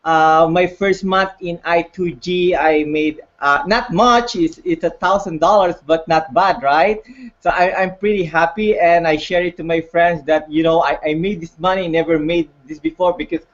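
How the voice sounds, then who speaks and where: A man with a Filipino accent speaks English as a second language in a high, light voice. He talks in halting bursts full of fillers and restarts. The sound is dull and lo-fi, as from a video call. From Philippines. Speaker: guest on online call. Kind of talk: casual hesitant testimony.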